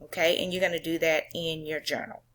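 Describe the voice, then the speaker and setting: A woman's voice: deep voice, level tone, fairly bright tone. A woman teacher explaining a poem to her students, often checking in with "okay?" and "right?". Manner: reads the poem slowly with drawn-out emphasis. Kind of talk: poetry reading and classroom lesson.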